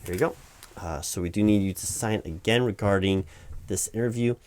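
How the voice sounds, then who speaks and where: A man's voice: on the low side, brisk, darkish tone, slight background noise. A man playing a distracted, evasive corporate hiring manager in a one-sided comedic interview roleplay, stammering and rambling officiously. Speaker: performer playing a job interviewer.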